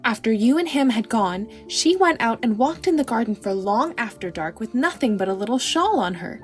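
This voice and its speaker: A woman's voice: on the high side, lively intonation, moderate pace, soft background music, natural voice. A woman voicing an anxious, devoted young maidservant girl in an audiobook, with an earnest, deferential, folksy rural way of talking. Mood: worried, confiding. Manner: rustic folksy dialect, deferential, breathlessly earnest. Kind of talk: audiobook character acting.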